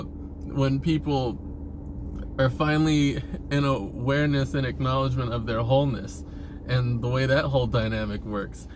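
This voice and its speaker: A man's voice: mid-range voice, noisy background. A man talking casually in a rambling monologue, hesitant and often restarting his phrases, as a vlogger posing questions.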